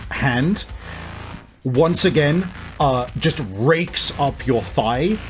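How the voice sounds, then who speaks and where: A man running a tabletop game as game master, improvising narration and explaining deliberately with casual swearing. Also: mid-range voice. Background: faint music.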